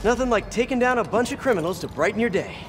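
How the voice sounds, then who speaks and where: A man's voice: sits high, expressive, fast talker, noisy background. An actor with an American accent playing a good-natured, wisecracking young male superhero in a video game. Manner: wisecracking, conversational.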